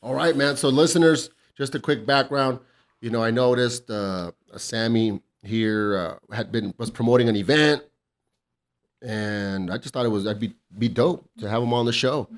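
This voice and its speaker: A man's voice: medium pitch, normal speed. A man hosting a casual podcast interview, laid-back, swearing often and cheering his guest on. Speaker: podcast host.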